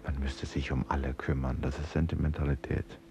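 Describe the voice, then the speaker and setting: A man's voice: a bass voice, level tone, brisk, vocal fry, a little mellow. An actor playing a haunted, brooding man in a radio play, musing in fragments and sometimes near a whisper. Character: haunted, brooding male narrator lost in thought. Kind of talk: German radio play inner monologue.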